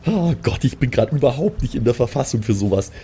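A man's voice: mid-range voice, expressive, fast talker, dark tone, slight background noise. A man playing an improvised tabletop role-playing game, stammering and repeating his words. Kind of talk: improvised in-character role play, casual chat.

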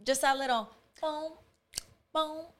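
Woman podcast co-host, bright-pitched, lively, profane, casual. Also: slow. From Southern California. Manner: animated, profane, self-interrupting. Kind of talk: casual chat.

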